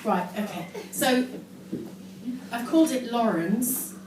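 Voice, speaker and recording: A woman gives a self-mocking, deadpan comic reading of teenage writing to a live audience. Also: on the high side, takes it slowly. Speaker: amateur performer reading her teenage diary on stage. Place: indoor live show in London, audience laughing behind. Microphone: distant phone camera in the audience. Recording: echoey, noisy live venue recorded from far off.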